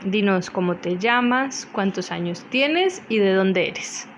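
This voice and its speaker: A woman's voice: mid-range voice, animated, takes it slowly, highs cut off, slight background noise. A woman conducting a friendly, conversational radio interview. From Colombia.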